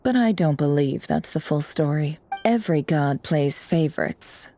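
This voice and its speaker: A woman voicing a poised, worldly investigator in a game, speaking in a measured, formal, articulate way. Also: deep voice, animated, mellow, faint music behind. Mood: knowing, cool. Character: poised, worldly female investigator briefing her allies. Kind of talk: scripted game dialogue.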